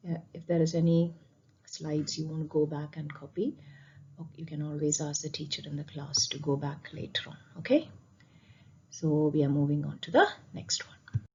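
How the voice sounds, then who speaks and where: Woman physics teacher, lowish pitch, explanatory with rhetorical questions and repetition, slightly muffled lo-fi sound. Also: fairly bright tone, slight background noise. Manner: explanatory, repeats key points, asks rhetorical questions. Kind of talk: spoken classroom lesson over slides.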